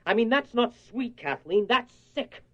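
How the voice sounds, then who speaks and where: A man's voice: very high voice, expressive, normal speed, a little hiss or hum. A man playing an exasperated, short-tempered husband in a radio horror play, blunt and argumentative. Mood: exasperated, angry. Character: exasperated, short-tempered husband, worried about his obsessed wife. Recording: dull lo-fi radio recording.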